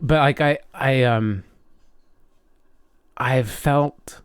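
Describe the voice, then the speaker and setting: A man's voice: medium pitch, smooth, dry recording, clean studio sound. A man co-hosting a podcast in casual conversation, often stopping and restarting his sentences as he thinks aloud. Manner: halting, frequent self-interruptions. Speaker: podcast co-host.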